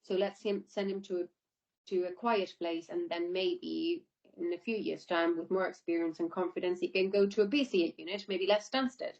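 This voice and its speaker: A woman's voice: on the low side, moderate pace, darkish tone. Woman on a dull, lo-fi call. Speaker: air traffic controller being interviewed. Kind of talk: informal interview answers. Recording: dull lo-fi online call audio.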